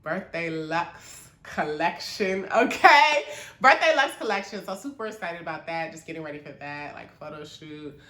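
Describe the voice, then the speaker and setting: A woman's voice: low voice, expressive, moderate pace, fairly bright tone, echoey room. A woman from Maryland, a small business owner, talking casually to camera in a chatty, encouraging way with lots of fillers.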